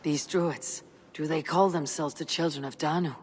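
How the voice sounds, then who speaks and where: A man performs a seasoned Viking raider in a game cutscene. He is pragmatic and plain-spoken, a touch high in pitch, and measured in delivery. There is faint noise in the recording. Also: fairly even intonation, natural voice. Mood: firm, counselling. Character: seasoned male Viking raider, steady, pragmatic war counsellor. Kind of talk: video game cutscene acting.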